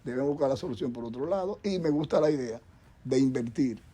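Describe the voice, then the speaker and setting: A man's voice: medium pitch, expressive, fast, dark tone, slightly rough. A middle-aged man with a Dominican accent, a doctor speaking as a guest on a talk show panel, rambling with many restarts and repeated words. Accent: Dominican Spanish. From Dominican Republic.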